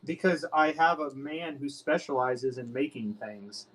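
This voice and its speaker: A man playing a tabletop role-playing game, bantering casually in a halting, jokey way. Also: mid-range voice, fairly bright tone, rough recording.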